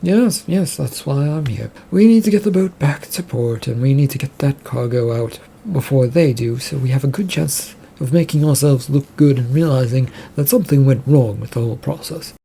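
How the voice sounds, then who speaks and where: A man's voice: medium pitch, moderate pace, creaky, few pauses, warm tone, a little hiss or hum, natural voice. A man voicing a scheming, well-connected businessman in a story, wry and confident.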